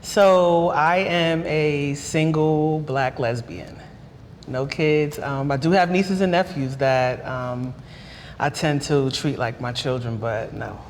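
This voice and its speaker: Relaxed woman in her forties. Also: low-pitched, takes it slowly. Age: 40s. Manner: relaxed, conversational, many fillers. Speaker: comedian on talk panel. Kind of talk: candid panel chat. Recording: reverberant room with faint noise.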